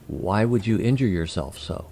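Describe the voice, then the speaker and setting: A man playing a polite, curious alien emissary in an audio drama, with a gravelly voice and formal, measured, precise speech. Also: lowish voice, mellow, slight background noise, close to an everyday voice.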